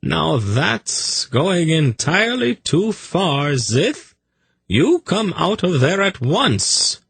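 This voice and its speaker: A man's voice: on the low side, fairly even intonation, drawn-out words, a little hiss or hum, voice pushed up. A man voicing a nervous, fawning snake keeper in an audiobook, pleading, apologetic and coaxing in manner. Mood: soothing, gently scolding. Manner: wheedling, apologetic, deferential.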